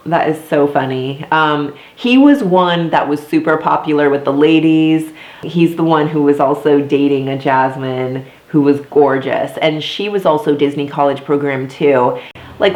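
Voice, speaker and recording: A woman's voice: low-pitched, darkish tone. A woman in her thirties, a former theme park performer, chatting casually to camera with a slightly congested, nasal voice. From Florida, US. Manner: chatty, many fillers and asides. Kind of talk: casual talking-head vlog. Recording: echoey room.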